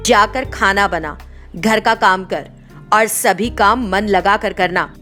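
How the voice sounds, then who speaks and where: A woman's voice: medium pitch, takes it slowly, pushed and bright, faint music behind. A woman voicing a domineering, wicked middle-aged mother-in-law who speaks in a curt, accusing, commanding way. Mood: harsh, commanding.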